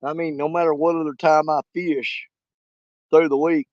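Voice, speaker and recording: A man hosting a fishing live stream outdoors at a small lake, hoarse and losing his voice, rambling in a folksy way straight to his viewers. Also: a little high, level tone, moderate pace, no echo, lo-fi sound. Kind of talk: casual live-stream chat.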